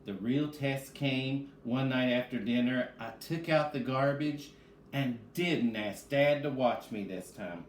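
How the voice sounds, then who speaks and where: A man's voice: mid-range voice, takes it slowly. Man reading to children in an echoey room. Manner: addresses children directly, asks and answers own questions. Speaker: reading specialist, teacher. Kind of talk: children's read-aloud and question-led lesson. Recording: echoey room with faint background noise.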